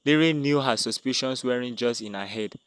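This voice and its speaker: Guy narrating a story, smooth clean voice, dramatic scripted read, no room echo. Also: mid-range voice, brisk.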